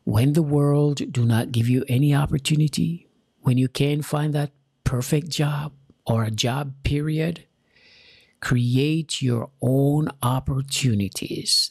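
A Jamaican-accented man from Jamaica giving an earnest, deliberate motivational talk straight to his viewers, repeating key lines for emphasis. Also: medium pitch, creaky, a little mellow, breathy edge, no echo, studio quality. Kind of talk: motivational direct-to-camera talk.

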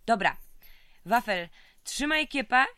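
A woman's voice: fairly high pitch, expressive, slow pace, sharp tone, slight background noise, heavily acted. An actress playing a weary, tough female prisoner in an audio drama, talking in colloquial, streetwise slang. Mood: brash, sardonic.

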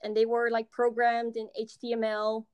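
A woman's voice: on the high side, completely flat delivery, moderate pace, clean tone, no room sound, lo-fi sound. A young woman from the Netherlands with a Dutch accent, chatting as a podcast guest, hesitant with many ums and frequent laughs. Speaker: podcast guest, software developer. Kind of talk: casual interview chat.

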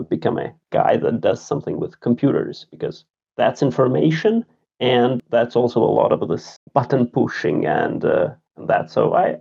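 A man in his thirties from Latvia, a game designer talking about himself in a casual podcast interview, halting and self-deprecating. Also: medium pitch, creaky, dark tone, slightly rough, muffled recording. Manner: halting, many filler sounds, self-deprecating. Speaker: game designer and programmer, guest.

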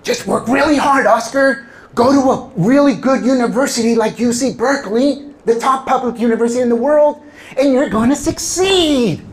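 A middle-aged man, a native Spanish speaker from Southern California, giving a personal motivational talk to an audience in animated storytelling style, acting out quoted lines. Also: very high-pitched, normal speed. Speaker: public speaker.

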